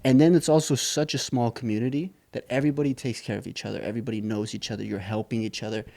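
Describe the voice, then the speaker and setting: A young man from Saipan talks through his story in a relaxed, rambling way, with a gravelly edge in his voice. His speech is mid-pitched and full of fillers and restarts. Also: quick pace. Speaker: entrepreneur guest. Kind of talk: casual interview storytelling.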